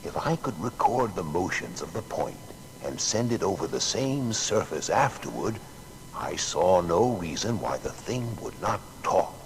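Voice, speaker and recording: An actor playing an earnest, matter-of-fact male inventor in a dramatised biography, speaking in a deliberate, plain-spoken way. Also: low voice, big pitch swings, slight background noise, heavily acted, rough recording. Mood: reflective, determined.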